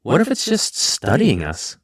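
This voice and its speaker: Casting: male actor as geeky teen theorist, conversational, hugely varied pitch, dry close sound. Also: huge pitch swings, a little slow, creaky, slightly rough, no echo, heavily acted. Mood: musing. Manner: conversational, musing. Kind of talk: audio drama acting.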